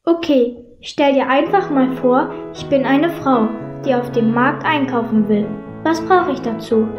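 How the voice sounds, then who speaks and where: A woman's voice: high voice, measured pace. A woman playing a bossy, impatient young girl pickpocket in a children's audio drama. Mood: instructing. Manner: bossy, exasperated instructing. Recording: echoey room, music behind.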